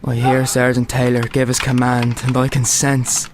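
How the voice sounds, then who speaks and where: An actor playing a young soldier who narrates his own execution in steady, grim tones. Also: mid-range voice, moderate pace, noisy background, natural voice. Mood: grim calm. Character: young male soldier refusing to shoot his brother. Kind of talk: amateur radio play acting.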